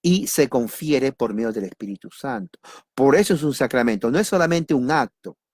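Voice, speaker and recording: A man from Peru with a Peruvian accent, teaching doctrine in a sermon, repeating key words and asking and answering his own questions, with a lively, joking manner. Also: medium pitch, normal speed, no echo, studio quality. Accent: Peruvian Spanish. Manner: lively, jokes with listeners. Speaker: preacher.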